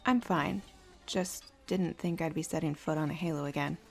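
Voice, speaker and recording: Low fry-voiced marine woman actress, quiet tough type, terse, audio drama, faint music. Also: fairly even intonation, normal speed. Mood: guarded. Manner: terse, guarded. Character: strong, silent female marine, guarded and terse.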